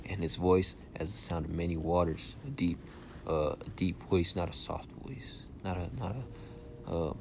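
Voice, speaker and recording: A soft-spoken young man teaching scripture casually, reading verses aloud and checking in with "all right?" and "okay?". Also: low voice, unhurried, background noise. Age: young adult. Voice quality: soft-spoken by his own account. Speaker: Bible teacher.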